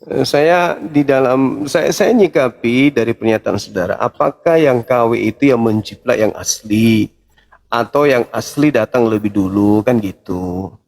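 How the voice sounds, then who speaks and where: A man's voice: mid-range voice, a little slow, gravelly, a little mellow. A man debating religion informally, questioning insistently in a confrontational, condescending way.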